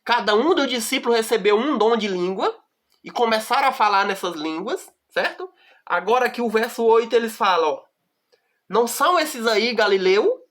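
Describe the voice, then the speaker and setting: A woman with a Brazilian accent teaching a Bible study, reading verses slowly and deliberately and often checking in with the listener. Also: a little high, animated, a bit bright. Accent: Brazilian Portuguese.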